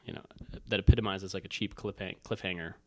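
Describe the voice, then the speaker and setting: A man's voice: low-pitched, steady tone, quick pace, a little mellow, no echo. A man in his twenties chatting casually about books, thoughtful and tentative as he talks. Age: 20s. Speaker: co-host. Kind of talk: casual book chat.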